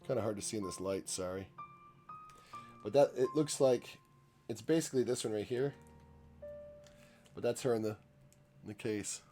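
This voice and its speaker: Brief: stuffy-nosed man, lowish voice, loose rambling stream chatter, faint music bed. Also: normal speed, pauses often, mellow. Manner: rambling, chatty, many restarts and asides to chat. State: congested. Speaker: painter and livestreamer. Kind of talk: casual live-stream chat while painting. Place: home art studio.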